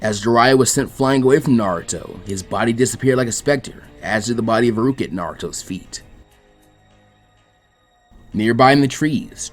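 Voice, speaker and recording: A man narrating fan fiction as a scripted story reading. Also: fairly low pitch.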